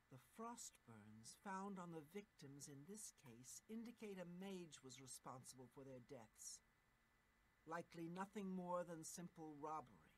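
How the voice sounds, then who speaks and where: A woman's voice: low-pitched, a little hiss or hum, rough recording. A woman voicing a stern female mage guild official in a video game, speaking in a formal, commanding way. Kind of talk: video game character dialogue.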